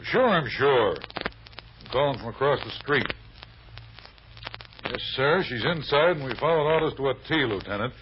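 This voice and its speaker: On a muffled, hissy old radio recording, a male actor plays a dogged American police sergeant. He talks in clipped, slangy bursts at a middling pitch, deferential to his boss but stubborn. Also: expressive, normal speed, pauses often, lightly acted. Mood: brisk, confident. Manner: clipped tough-cop slang, placating his superior. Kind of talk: old-time radio drama acting. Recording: dull, noisy vintage radio recording.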